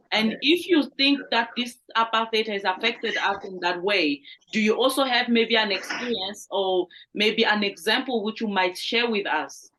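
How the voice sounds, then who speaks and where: A woman's voice: a little high, a bit bright, poor audio. A woman interviewer asking a long question, hesitant and rephrasing as she goes.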